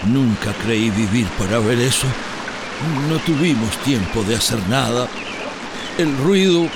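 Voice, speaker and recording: An older man with a Costa Rican accent playing a miner who has survived a flood, speaking in a stunned, halting way, in a radio drama. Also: mid-range voice, expressive, background noise. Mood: shaken. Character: old male miner, shaken flood survivor. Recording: loud rushing water behind.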